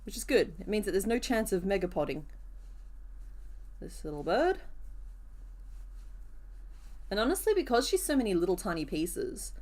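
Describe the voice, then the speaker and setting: A woman with a rough, hoarse voice chatting casually with viewers during a live crochet stream, answering comments as she works. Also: medium pitch, a little mellow.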